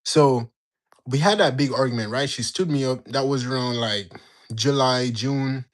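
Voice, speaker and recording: A young man in his late teens from Jamaica, telling a story in a slangy, animated way while bantering with his livestream chat. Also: medium pitch, a little slow. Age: young adult. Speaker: livestream host. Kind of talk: casual storytelling to live chat.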